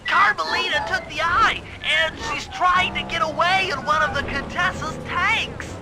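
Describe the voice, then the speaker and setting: Very high, anxious little sidekick. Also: male voice, measured pace, a loud crowd. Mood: alarmed. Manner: anxious, urgent. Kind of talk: cartoonish video game acting. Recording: very loud music behind.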